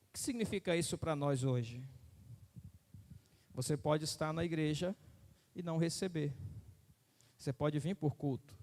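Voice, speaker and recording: Emphatic preacher in a reverberant hall. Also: male voice, a little high, darkish tone. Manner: emphatic preaching, repeating phrases, asking the crowd if they follow. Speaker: pastor. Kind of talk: sermon.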